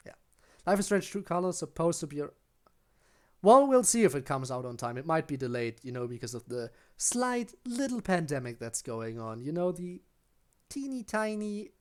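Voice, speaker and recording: A German man with a German accent, chatting casually with viewers during a live game stream, rambling and often restarting his sentences. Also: mid-range voice. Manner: rambling, with frequent restarts while answering chat. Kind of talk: casual live-stream chat while gaming.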